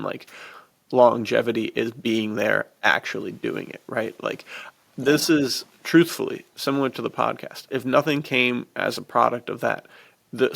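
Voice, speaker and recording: A man chatting casually and enthusiastically as a podcast host, in relaxed bro slang peppered with "dude". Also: mid-range voice, creaky.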